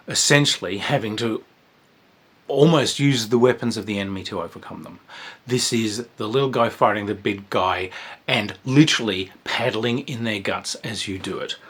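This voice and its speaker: A man with a husky, slightly rough voice talks through a tabletop game review in a loose, conversational way. He wanders off on tangents and then jumps up in energy with bursts of excitement. His voice sits at an ordinary middle pitch. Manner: chatty and digressive, with sudden excited outbursts. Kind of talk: casual review monologue.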